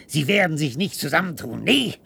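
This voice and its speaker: An actor playing an obsessive, tormented scientist in a German radio play, theatrical and halting, moving between hushed whispers and outbursts. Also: male voice, fairly high pitch, big pitch swings, vocal fry, breathy edge, exaggerated voice. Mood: agitated denial. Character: obsessive, tormented male linguist scientist, desperate and defiant.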